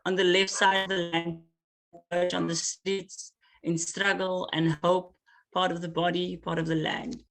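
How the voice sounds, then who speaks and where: Man reading poetry slowly. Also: high-pitched, flat delivery, vocal fry, fairly bright tone. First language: Afrikaans. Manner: slow, measured recitation with hesitant asides between pieces. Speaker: poet.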